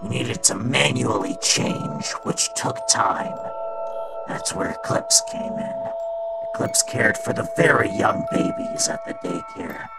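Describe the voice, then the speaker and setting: A guilt-ridden male robot attendant in a comic dub. He confesses slowly and haltingly in a gravelly, whisper-prone voice that swings widely, with music playing behind. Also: medium pitch, heavily acted, rough recording. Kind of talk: fan comic dub, dramatic storytelling.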